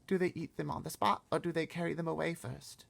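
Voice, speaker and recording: A man's voice: on the high side, fairly even intonation, fast, vocal fry, pitched above the performer's own voice. A man voicing a bossy, eager little girl in a storybook read-aloud, explaining things emphatically and confidently. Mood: urgent, commanding.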